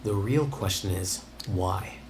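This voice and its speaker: A man voicing a calm, self-assured scholar in a video game, measured, articulate and matter-of-fact. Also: sits low, background noise. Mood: measured, explaining. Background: background music.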